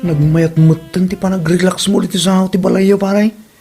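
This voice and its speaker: A man's voice: high-pitched, steady tone, normal speed, creaky, over loud music. A man playing a plain-spoken, grumbling village husband in an amateur comedy drama, with a Filipino Ilocano accent. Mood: casual, persuading.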